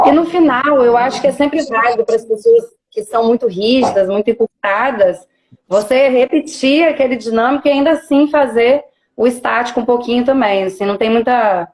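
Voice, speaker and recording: Uma educadora física que apresenta uma live e fala de forma descontraída e falante. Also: female voice, a little high, fairly quick, low-quality recording. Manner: relaxed, chatty, many fillers. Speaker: physical educator and host. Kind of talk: casual live-stream interview.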